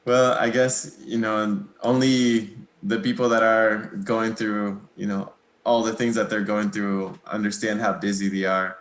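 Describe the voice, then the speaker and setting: A young man from Mexico whose first language is Spanish, answering interview questions in a relaxed, hesitant way with many pauses and small laughs. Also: lowish voice, reverberant. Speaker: guitarist, interview guest.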